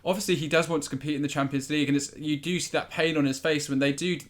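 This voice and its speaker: A man's voice: on the high side, steady tone, fast. A man speaking as a guest pundit in a casual, conversational football debate.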